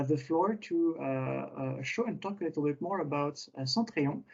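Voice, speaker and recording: A man opens a webinar in a hurried, hesitant way, with fillers and restarts throughout. His voice sits a little high for a man. The sound is dull and lo-fi. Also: creaky, a little mellow, breathy edge. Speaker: webinar host. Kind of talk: webinar introduction.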